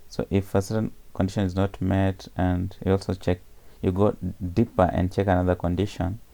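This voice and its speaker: Male coding tutor, very low and gravelly, dark tone, talks through steps with frequent ums. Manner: explains step by step with many fillers and self-corrections. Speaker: programming tutor.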